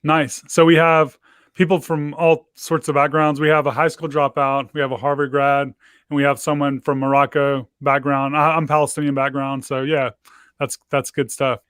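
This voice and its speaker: A man hosting a casual video call interview, talking in a relaxed, chatty way with lots of fillers. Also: a little high, moderate pace, clear, resonant tone, no echo, studio quality. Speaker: host. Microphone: video call microphone.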